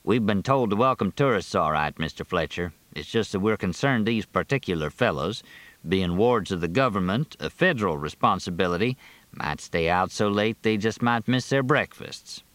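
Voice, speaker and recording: A man playing a polite, earnest rural deputy with a deliberate, understated manner in a story reading. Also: on the low side, animated, a bit bright, no room sound. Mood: droll, concerned. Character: polite, drawling young male rural deputy, earnest and droll.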